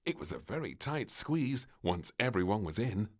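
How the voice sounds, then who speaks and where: A man reading a children's storybook aloud, with warm, expressive storytelling. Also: lowish voice, moderate pace, husky. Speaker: storybook narrator. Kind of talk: children's read-along narration.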